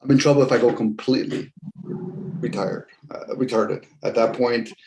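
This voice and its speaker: A man's voice: medium pitch, normal speed. Man, 50s, show host, casual rambling talker in a roomy space. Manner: rambling, reflective, jokey. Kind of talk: casual group chat. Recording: roomy with faint noise.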